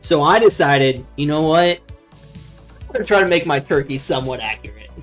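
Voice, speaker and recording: A man's voice: a little high, expressive, fairly quick, a bit bright, soft background music. A man chatting and joking as a commentator on a live game stream, teasing his co-hosts. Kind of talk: casual sports commentary chat.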